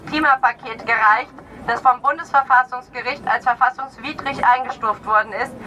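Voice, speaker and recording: A middle-aged woman speaking earnestly and deliberately as an activist, delivering a prepared speech at an outdoor rally. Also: sits high, pushed and bright, poor audio. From Cologne area, Germany. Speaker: climate activist giving a speech. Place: outdoors in an urban area. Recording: clear outdoor street and traffic noise.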